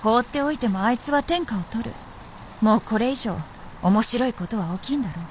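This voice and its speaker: A woman's voice: on the high side, expressive, fairly quick, a little hiss or hum, natural voice. A woman playing an aloof, proud immortal princess in a Japanese period radio drama, with archaic, composed, lordly speech. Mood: detached.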